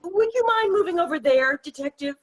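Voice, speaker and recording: A woman's voice: very high voice, expressive, brisk. A woman playing a wry, exasperated middle-aged mother in a comic play read aloud. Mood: dry, guarded. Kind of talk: table-read stage comedy acting.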